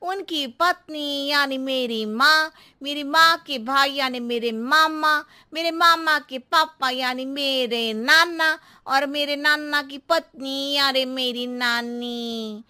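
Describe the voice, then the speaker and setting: A woman voicing a scatterbrained, cheeky housemaid who talks in exaggerated, roundabout riddles for a comic animated story. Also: sits high, slow pace, full character voice. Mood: rambling. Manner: exaggerated, circuitous riddling talk.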